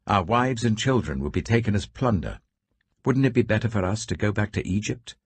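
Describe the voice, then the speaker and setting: Man voicing a deep, fearful ancient Israelite. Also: lively intonation, a little fast, husky, dry recording. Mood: bitter complaint. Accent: British. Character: fearful, grumbling crowd of ancient Israelite men.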